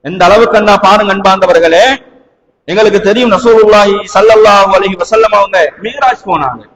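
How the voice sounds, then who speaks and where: High-pitched Sri Lankan Tamil male preacher, echoing hall. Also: brisk, a bit bright. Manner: emphatic, dramatic, with rhetorical questions and repeated phrases. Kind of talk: sermon with storytelling. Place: large mosque hall. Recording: reverberant hall.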